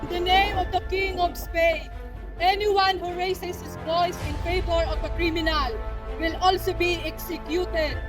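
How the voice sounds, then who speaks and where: A woman's voice: very high voice, level tone, a little slow. A woman playing a stern colonial officer barking commands in an amateur historical film. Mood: commanding. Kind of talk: amateur student film dramatization. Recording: distant mic, music behind.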